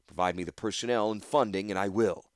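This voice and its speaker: A man voicing a cold, calculating male tyrant who speaks in a measured, composed way. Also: fairly low pitch, no echo, clean studio sound, natural voice.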